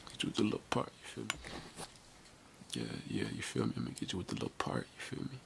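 An American man in his twenties doing a soft-spoken ASMR barber roleplay, murmuring slangy, repetitive reassurances close to the mic. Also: extremely low pitch, wildly swooping pitch, fast talker, vocal fry. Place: small room indoors. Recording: noisy close mic with handling sounds.

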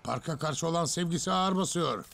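Creaky-voiced, kindly cartoon grandfather. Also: fairly high pitch, fairly quick. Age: older. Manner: reassuring, gently lecturing. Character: kindly old grandfather, calm and principled. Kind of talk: Turkish cartoon dub acting.

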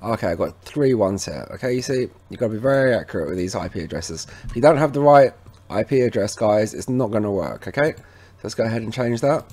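A man presenting an informal, instructional software walkthrough, talking casually to viewers as he goes. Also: lowish voice, lively intonation, quick pace. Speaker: tech tutorial presenter. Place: indoors at a computer, voice over screen recording.

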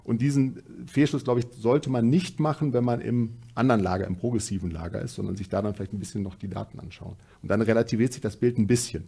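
Mellow husky analytical man. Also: on the low side. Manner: measured, analytical. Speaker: panel guest. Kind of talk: studio panel discussion. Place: studio stage.

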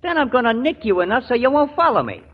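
A cocky tough-guy crook from a seventies radio play. The voice is strikingly high for a man and swings about with slangy, sneering menace. The recording is dull and old. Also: sing-song, fast talker, exaggerated voice. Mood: menacing. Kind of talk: 1970s radio drama acting.

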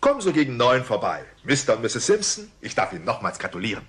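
A man's voice: medium pitch, huge pitch swings, quick pace, fairly bright tone. A man playing an earnest, polite male school psychiatrist who explains professionally in a dubbed cartoon audio play. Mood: earnest, coaxing.

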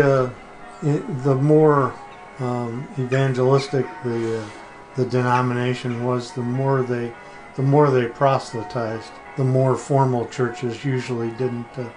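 A man's voice: mid-range voice, steady tone, unhurried, music in the background, rough recording. An older man answering interview questions casually, hesitantly searching for his words. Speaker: podcast guest, former religion writer.

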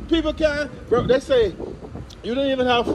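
A man with a high voice talks religion in a colloquial, emphatic way as he walks outdoors. He circles back and repeats phrases for weight. Clear outdoor noise runs behind him. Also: normal speed. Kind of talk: casual religious talk while out walking. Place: outdoors, walking. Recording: noisy outdoor recording, traffic or wind noise.

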